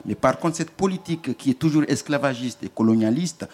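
A medium-pitched man from Africa with a francophone African accent. He speaks in a colloquial, insistent style, putting his views plainly in a conversation. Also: animated, a little fast, darkish tone. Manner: emphatic, colloquial, plain-spoken. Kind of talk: animated panel conversation.

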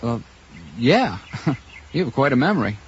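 A man's voice: medium pitch, very animated, normal speed, breathy edge. Awkward polite regular guy, mid voice, very up and down, radio drama, bit muffled. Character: polite, hesitant everyman visitor to a cemetery. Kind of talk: naturalistic audio drama acting.

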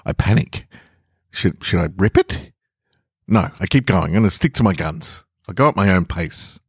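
A man's voice: deep voice, expressive, moderate pace, slightly rough, dry recording, studio quality. A man reading a first-person story as a shy, lovestruck young man, awkward and awestruck. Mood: awestruck, nervous.

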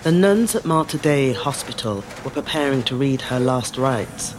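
An actress playing a reflective middle-aged woman born in Zimbabwe, telling her family's story in a measured, lyrical scripted monologue. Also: extremely low pitch, expressive, noisy background. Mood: solemn. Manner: measured, lyrical, deliberate phrasing. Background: music.

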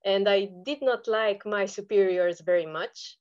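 A woman's voice: medium pitch, a little slow, a little mellow, smooth, highs cut off. A woman from Romania with a Romanian accent, answering conversationally as an interview guest. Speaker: podcast guest, online seller.

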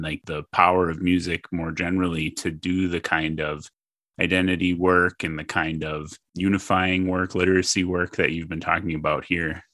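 Deep-voiced, curious male interviewer. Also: pushed and bright, no echo, clean studio sound. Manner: curious, probing, long questions. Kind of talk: interview questions.

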